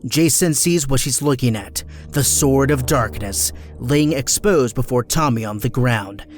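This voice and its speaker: A man's voice: mid-range voice, measured pace, slight background noise. A man narrating a scripted action audio drama in dramatic storytelling style.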